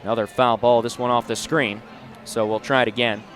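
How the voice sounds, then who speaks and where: A man's voice: medium pitch, level tone, brisk, fairly bright tone, a faint crowd. A man in his twenties doing live play-by-play sports commentary in short, punchy announcer phrases. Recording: noisy live venue sound.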